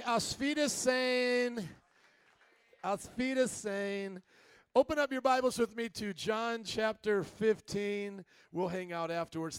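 Energetic high-voiced man preaching. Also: gravelly, clean tone, no room sound, studio quality. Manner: energetic, calls for congregation responses. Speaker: pastor. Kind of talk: sermon. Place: church.

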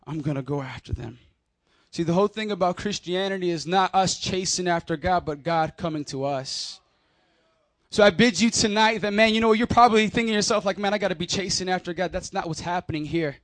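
A man's voice: high-pitched, a little fast, fairly bright tone, no room sound. A man preaching a sermon at a conference in a casual, emphatic way, with slang and calls for the crowd to repeat after him. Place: conference hall.

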